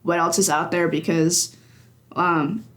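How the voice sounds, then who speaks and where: A woman's voice: fairly low pitch, steady tone, takes it slowly. Chatty young woman, lots of fillers. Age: 20s. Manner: chatty, many fillers, laughs often. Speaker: games journalist guest. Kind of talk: casual conversation.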